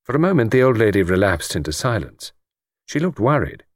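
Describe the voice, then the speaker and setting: A man's voice: on the low side, sing-song, a little fast, no room sound, clean studio sound. A man with an English accent reading literary fiction as an audiobook narrator. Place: dry studio.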